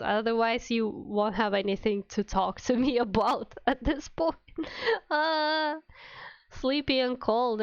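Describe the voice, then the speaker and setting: A woman's voice: fairly high pitch, clear, resonant tone, no echo, highs cut off. A woman streamer chatting casually with her viewers while playing a game, sounding a little under the weather. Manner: chatty, addresses viewers by name. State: sick with a cold. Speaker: VTuber streamer.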